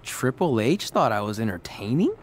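An actor playing an eager, self-assured young wrestler in a video game cutscene, upbeat and a little self-absorbed. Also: male voice, mid-range voice, very animated, moderate pace, slight background noise, lightly acted. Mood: eager, confident.

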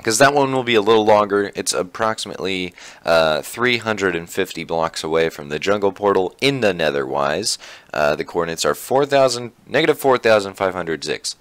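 A young man with a slightly raspy voice, chatting casually through his Minecraft survival gameplay with plenty of fillers. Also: low-pitched, animated, normal speed, fairly bright tone, dry recording. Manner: chatty, rambling, many fillers. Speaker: Minecraft YouTuber.

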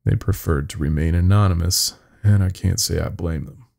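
A man's voice: deep voice, normal speed, gravelly, darkish tone. A man narrating a first-person horror story in a weary, haunted, folksy storytelling manner.

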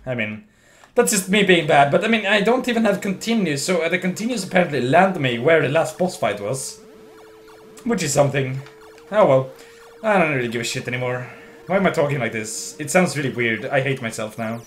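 A man's voice: on the high side, expressive, fairly quick, a little hiss or hum. Drunk Swedish-accented man rambling. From Sweden. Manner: rambling, giggly, slurring and restarting words. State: voice going hoarse. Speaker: livestream gamer. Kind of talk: casual drunk gameplay commentary.

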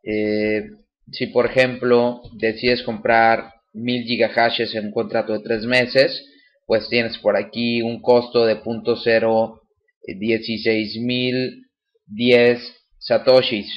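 A man talks through a screen recording in a casual, halting way, full of fillers and restarts. His voice sits at a middle pitch, and the home recording sounds dull and lo-fi. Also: level tone. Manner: explains step by step with many fillers and restarts. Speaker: channel host demonstrating a website.

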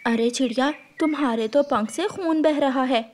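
A woman voicing a helpful, calm female bird healer in a cartoon, matter-of-fact and concerned. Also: sits high, moderate pace, lightly acted.

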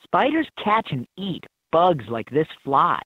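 A woman with a husky voice reading scripted prompts as an encouraging guide in a children's game, on dull toy audio. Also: deep voice, big pitch swings, slow pace, dry recording, exaggerated voice.

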